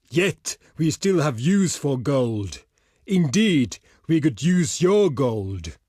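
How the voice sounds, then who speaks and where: An actor playing a proud, stern barbarian envoy in a video game, speaking in a solemn, formal and declamatory way. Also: male voice, a little high, dry recording, clean studio sound. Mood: sly, demanding. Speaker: diplomat character in a strategy game. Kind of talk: theatrical video game voice lines.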